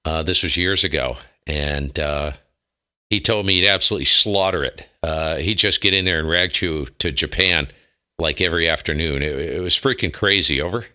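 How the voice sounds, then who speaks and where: A man's voice: extremely low pitch, moderate pace, sharp tone, clear, resonant tone. An American man chatting casually on ham radio, enthusiastic and full of slang. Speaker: ham radio operator and streamer.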